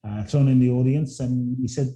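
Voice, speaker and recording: A man's voice: medium pitch, fairly even intonation, moderate pace, mellow. A man, a guest speaker telling his story in an earnest, reflective way. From born in Ghana, grew up in London. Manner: earnest, reflective, repeats words when starting sentences. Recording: roomy echo.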